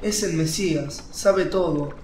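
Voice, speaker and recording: An earnest, devout young fisherman in a radio play. His voice is very high for a man and insistent. It sounds roomy, with faint noise behind. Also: takes it slowly. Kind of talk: radio drama acting.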